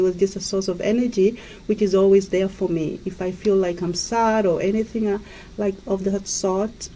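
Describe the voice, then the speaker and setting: A woman from Kenya speaks as a radio interview guest, her voice mellow and mid-pitched. She tells her story gently and at length. Faint hiss sits behind her. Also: poor audio. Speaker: guest, life coach.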